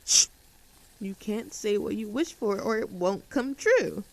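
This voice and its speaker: An easygoing young tomboy played by a woman chats affectionately as if to a close friend on a camping trip. Her voice sits at an average female pitch, with a casual, cheerful delivery suited to intimate roleplay audio. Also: animated, measured pace, a little mellow, rough recording. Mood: playful, earnest. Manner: casual, chatty, giggly, affectionate. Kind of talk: roleplay audio drama, intimate one-sided conversation.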